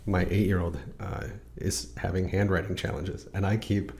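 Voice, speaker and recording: A man's voice: sits low, moderate pace, gravelly. A middle-aged man hosting a podcast, telling stories in casual chat and livening up when he quotes others. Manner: storytelling, animated when quoting others. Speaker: podcast host.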